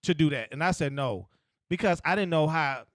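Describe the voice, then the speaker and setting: A middle-aged man co-hosting a casual podcast chat, telling stories haltingly with many restarts. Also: on the high side, animated, no echo, studio quality. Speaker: podcast co-host. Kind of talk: casual crude group chat.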